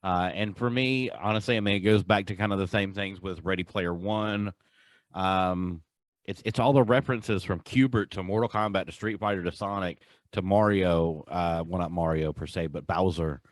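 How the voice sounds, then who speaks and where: A man's voice: deep voice, steady tone, moderate pace, dry recording. A man hosting a podcast, chatting casually about pop culture. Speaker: podcast host.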